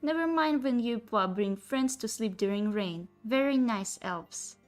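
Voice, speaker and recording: A woman's voice: on the high side, animated, a little slow, a little mellow, faint music behind. A woman voicing a cheerful, simple-minded-sounding harpy who speaks eagerly in clipped, broken English in a fantasy story. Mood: fond, earnest. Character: cheerful simple-spoken female harpy with wing claws.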